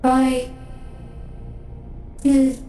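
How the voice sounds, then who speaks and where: An actress playing a calm, secretive female android companion in a sci-fi game, speaking in a measured way. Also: sits high, roomy sound, distant mic, faint music behind. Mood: matter-of-fact. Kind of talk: measured game cutscene acting.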